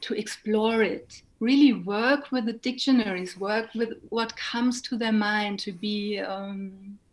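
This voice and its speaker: A woman's voice: mid-range voice, fairly even intonation, slow pace. A woman with a German accent, a native German speaker, answering as an interview guest in a reflective way, carefully searching for her words. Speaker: interview guest, singer and writer. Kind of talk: thoughtful interview conversation.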